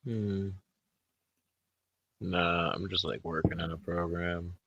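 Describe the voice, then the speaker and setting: Man, very deep creaky voice, slow pace, laconic casual chat, blunt. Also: little pitch movement, fairly bright tone, no room sound, highs cut off. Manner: laconic, low-key, blunt.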